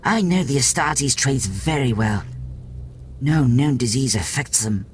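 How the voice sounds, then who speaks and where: A man's voice: mid-range voice, expressive, measured pace, slight background noise, pitched above the performer's own voice, close to an everyday voice. A man voicing a fierce female alien pack leader for an audiobook, with a barking, commanding manner. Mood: puzzled, certain. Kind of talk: audiobook character voice.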